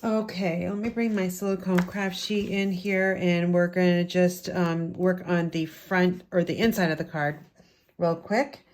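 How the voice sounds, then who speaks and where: A woman with a slightly low, raspy voice, still getting over a head cold. She talks casually and explains each step of a craft tutorial as she works. She is from the United States. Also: steady tone, a little slow, darkish tone, a little hiss or hum. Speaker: card-making instructor. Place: home craft room with open windows.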